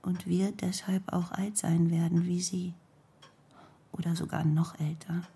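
Very breathy, husky, brooding actress. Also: female voice, lowish voice, little pitch movement, dark tone, full character voice. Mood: brooding, melancholy. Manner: fragmented, deliberate phrasing. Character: melancholy, world-weary actress, wry and brooding. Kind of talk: literary radio drama monologue and dialogue.

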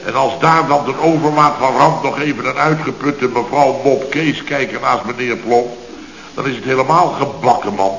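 A man's voice: mid-range voice, normal speed, fairly bright tone, highs cut off, slight background noise, close to an everyday voice. Man acting as pompous blustering boss, roomy old recording. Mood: indignant. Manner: pompous, mock-formal, long-winded. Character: blustering, indignant, pompous male boss of a building firm. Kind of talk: 1970s radio comedy serial acting.

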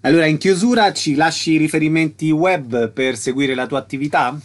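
A man's voice: fairly high pitch, brisk. A man, acting as interviewer with short, straightforward prompts.

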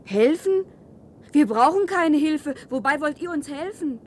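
Curious teenage girl, higher voice. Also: expressive, unhurried, slight background noise. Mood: suspicious. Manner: direct, probing, naive. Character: curious, distrustful fifteen-year-old wild girl. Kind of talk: German science-fiction radio drama acting.

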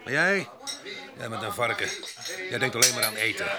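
A male actor playing a rough, bitter grape picker with a violent past in a Dutch radio drama, gruff, cursing and sardonic. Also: mid-range voice, animated, a little fast, close to an everyday voice. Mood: bitter, angry. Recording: busy crowd noise behind.

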